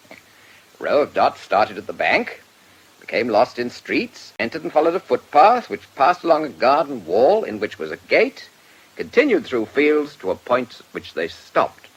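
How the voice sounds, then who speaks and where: An actor playing a bluff, plain-spoken police superintendent in a radio drama, blunt and colloquial. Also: male voice, mid-range voice, fairly bright tone, husky, poor audio. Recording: faint hiss.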